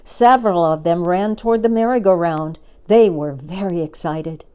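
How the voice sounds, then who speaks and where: A woman storyteller reads a children's book aloud. Her voice sits fairly low, and she narrates expressively. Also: normal speed, dark tone, clean tone.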